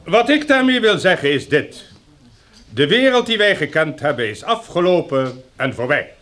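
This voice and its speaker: A man's voice: on the high side, sing-song, moderate pace, a bit bright. A man acting in a radio drama as an elderly sociology professor, earnest and long-winded, lecturing in a pedantic, oratorical way. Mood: emphatic, earnest.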